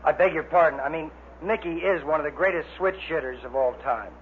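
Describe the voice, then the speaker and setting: A man's voice: on the high side, a little fast. An American man reading scripted copy as a vintage radio announcer. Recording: tinny, faintly noisy vintage radio recording.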